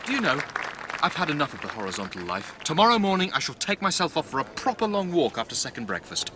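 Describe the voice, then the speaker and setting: A man's voice: fairly high pitch, big pitch swings, quick pace, sharp tone, a faint crowd, slight background noise. An actor in a radio drama playing an eager, impressionable young man given to musing aloud. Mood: restless. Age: young adult. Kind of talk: radio drama acting, interior monologue.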